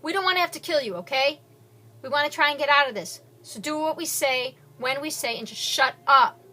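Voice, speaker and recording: Woman doing a steady teen gunman, clipped and firm, bright edge. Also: fairly high pitch, normal speed, husky, heavily acted. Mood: threatening. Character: cool-headed older teenage boy gunman.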